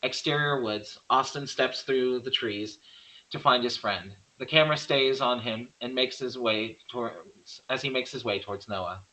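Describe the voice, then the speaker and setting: A man's voice: mid-range voice, normal speed, sharp tone, rough recording. A man narrating stage directions from a script at a table read, reading plainly and occasionally stumbling over words.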